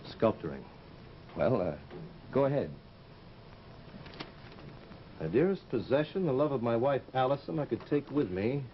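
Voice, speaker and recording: A man acting a brooding, intense artist in a radio melodrama. His mid-pitched voice swings widely and earnestly. The recording is muffled and hissy, like an old broadcast. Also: very animated, normal speed, lightly acted. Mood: solemn reading. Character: brooding, intense American sculptor in love.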